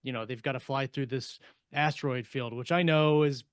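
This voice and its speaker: A man talks casually as a podcast co-host, working through his thoughts in a halting way. His pitch sits in the middle, and the close, dry sound has little room in it. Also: fairly even intonation, clean tone, no room sound. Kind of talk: casual panel discussion.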